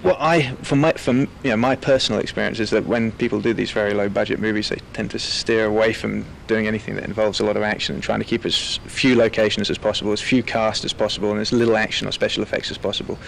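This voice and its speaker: A man talking as a film director in a relaxed, wordy, articulate interview. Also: lowish voice, fast, gravelly, hardly any pauses, a little hiss or hum.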